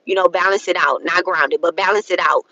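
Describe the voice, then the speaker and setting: A woman in her thirties talks loosely and frankly about spiritual matters, circling back and repeating herself. Her voice is bright and forward. The sound is dry and close. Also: on the low side, quick pace, no room sound, muffled recording. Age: 30s. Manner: rambling, repeats herself, adds "okay?" often; frank and profane, colloquial phrasing. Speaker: spiritual content creator. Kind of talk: casual spiritual talk to viewers.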